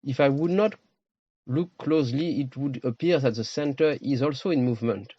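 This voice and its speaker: Low-voiced man guiding a meditation. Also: warm tone, no echo, lo-fi sound. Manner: slow, pausing, short phrases. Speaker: meditation teacher. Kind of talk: guided meditation.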